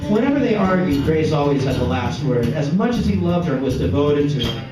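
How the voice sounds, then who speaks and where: A man reads fiction aloud at a live event in a slightly high voice, now and then tripping over a word and repeating it. He sounds distant in a very reverberant room, with loud guitar music behind him. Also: sing-song, brisk. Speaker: author reading his novel aloud. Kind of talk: live literary reading of fiction. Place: art gallery, live event. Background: loud plucked guitar music.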